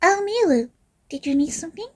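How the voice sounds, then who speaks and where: Casting: polite young fantasy-game girl, played by a woman, extremely high voice, soft and kindly. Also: expressive, fairly quick. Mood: gentle, polite. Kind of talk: amateur game voice-over.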